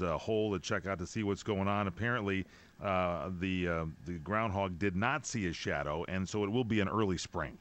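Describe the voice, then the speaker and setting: Guy hosting talk radio, studio mic, lowish voice, super effusive, rambles a bit. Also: a bit bright. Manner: enthusiastic, effusive, rambling questions. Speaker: radio talk show host. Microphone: studio mic with headphones.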